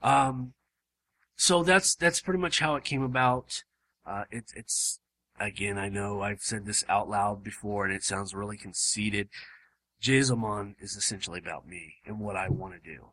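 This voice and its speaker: A man hosting a casual podcast, his voice hoarse and starting to give out, rambling with lots of fillers and restarts. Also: on the low side, moderate pace, bright, forceful tone, rough recording. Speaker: podcast host. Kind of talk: casual chat. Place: home room.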